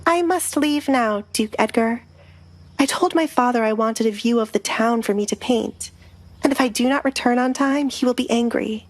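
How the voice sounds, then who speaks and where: A woman's voice: on the high side, moderate pace, voice pushed up, close to an everyday voice. A woman voicing a guarded young noblewoman in an audio romance, speaking in a polite, formal and earnest way. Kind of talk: dramatized audio romance dialogue.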